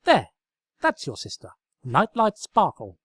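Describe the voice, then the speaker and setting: A man's voice: huge pitch swings, unhurried, fairly bright tone, breathy edge, no echo, clean studio sound, exaggerated voice. A man voicing a manic, bossy young female unicorn in a comedic story reading, ranting and melodramatic. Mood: bossy, smug. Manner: shrill, ranting, insistent.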